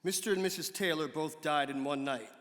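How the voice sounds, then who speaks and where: A man with a slightly high voice reads archaic formal prose as a dramatic performance. His delivery is measured and declamatory. The sound carries the long reverb of a large hall. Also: fairly bright tone. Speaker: actor giving a dramatic reading. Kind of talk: dramatic reading of an 18th-century pamphlet.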